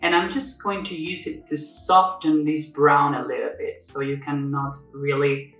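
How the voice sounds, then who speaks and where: A man's voice: a little high, flat delivery, unhurried. Young Spanish man explaining makeup. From Spain. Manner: chatty, explains step by step, self-correcting. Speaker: makeup vlogger. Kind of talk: casual makeup tutorial. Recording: echoey room, somewhat far from mic. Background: soft music.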